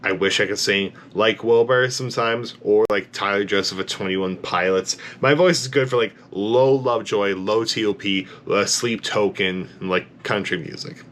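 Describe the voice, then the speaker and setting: A man with a low bass voice giving an enthusiastic, rambling reaction to a song, full of "like" fillers, tired late at night. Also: normal speed, few pauses, fairly bright tone, a little hiss or hum. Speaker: music reaction YouTuber. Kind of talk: casual reaction commentary.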